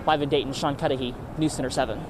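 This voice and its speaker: A man's voice: mid-range voice, steady tone, very fast. A man with an American accent giving a live TV news report outdoors. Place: outdoors on a city street. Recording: noisy outdoor street with traffic.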